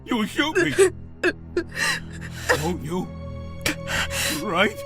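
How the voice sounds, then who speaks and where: An actor playing a guilt-ridden, desperate man in a dramatic video game scene, husky and halting as he pleads. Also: sits high, huge pitch swings, over music, full character voice. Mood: tearful begging. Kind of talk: dramatic game cutscene acting.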